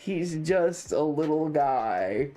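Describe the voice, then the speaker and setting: Measured, sardonic streamer over game music. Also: medium pitch, lively intonation, warm tone. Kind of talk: casual livestream chat and game commentary.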